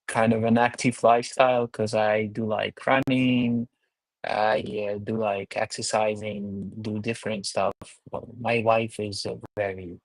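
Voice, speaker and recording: A Ukrainian man in his thirties, a guest in a remote interview, speaking haltingly with many fillers and restarts. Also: fairly low pitch, vocal fry, dry recording, rough recording.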